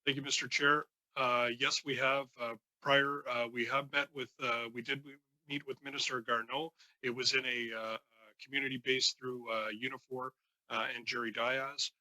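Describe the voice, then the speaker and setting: A man giving formal testimony as a union leader, answering haltingly with many false starts. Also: medium pitch, flat delivery, sharp tone, no echo, poor audio. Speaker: union president, committee witness.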